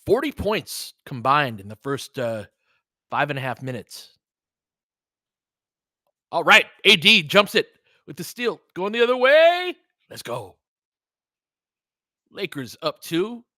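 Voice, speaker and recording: Male play-by-play streamer, bright forceful voice, dry close sound, clipped calls mixed with casual analysis and listener asides. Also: a little high, big pitch swings, slow pace, pauses often, no room sound. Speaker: play-by-play host of a fan audio stream.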